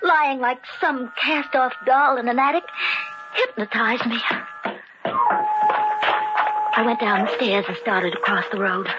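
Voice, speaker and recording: A woman's voice: fairly high pitch, moderate pace, lightly acted. An actress playing a guilt-ridden, frightened woman murderer in 1940s radio thriller style, breathless and melodramatic. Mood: haunted, anxious. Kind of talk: 1940s radio thriller acting, first-person narration. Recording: muffled old radio recording, loud music behind.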